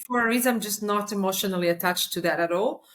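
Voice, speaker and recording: A young woman from Croatia, whose first language is Croatian, chats on a podcast. She tells her stories with animated energy, often restarting sentences and breaking into laughs along the way. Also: medium pitch, quick pace. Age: young adult. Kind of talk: casual podcast chat.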